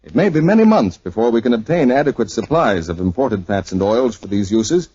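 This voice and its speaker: A man's voice: lowish voice, very animated, brisk, a little mellow, breathy edge, no room sound. A man reading a scripted sponsor announcement as a 1940s radio announcer. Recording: dry, muffled 1940s radio recording.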